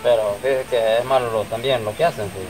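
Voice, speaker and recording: A man's voice: mid-range voice, steady tone, fairly quick, music in the background, natural voice, low-quality recording. A middle-aged man playing a friendly, persuasive countryman in an amateur drama, rambling and repeating himself, recorded outdoors. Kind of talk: amateur acted family drama, improvised dialogue. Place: outdoors in a rural yard under trees. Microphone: camera a few metres away. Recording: outdoor recording with clear background noise.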